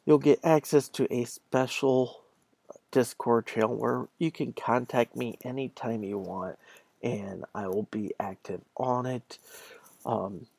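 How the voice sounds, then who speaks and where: Gravelly-voiced man hosting a casual podcast. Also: medium pitch, steady tone, takes it slowly, darkish tone, no echo. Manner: conversational, addresses listeners directly. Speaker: podcast host. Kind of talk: casual solo podcast talk.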